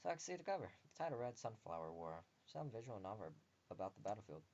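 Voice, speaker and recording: A man's voice: lowish voice, big pitch swings, fast, a little mellow, highs cut off. A young man reading a visual novel aloud as a let's player, expressively, with casual wry asides. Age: young adult.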